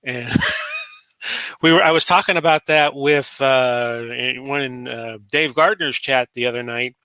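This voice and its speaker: A man's voice: mid-range voice, a little slow, sharp tone, smooth, dry recording, rough recording. A man in his late forties from Florida, hosting a solo talk show in a rambling, casual way full of fillers and restarts. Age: 40s. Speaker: podcast host.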